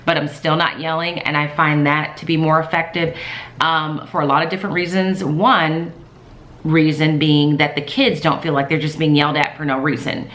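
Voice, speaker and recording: A woman in her thirties, a teacher, chatting casually in a calm, soft-spoken way with many fillers. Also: sits low, moderate pace. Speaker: teacher vlogger. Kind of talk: casual vlog talk. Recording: echoey room.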